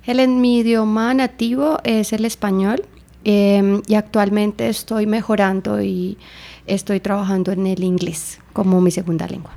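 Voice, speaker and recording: A woman's voice: mid-range voice, little pitch movement, measured pace, gravelly, mellow. A young Colombian woman with a Colombian Spanish accent, giving thoughtful interview answers full of small fillers. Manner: thoughtful, with many fillers like "eh" and "digamos". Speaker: guest.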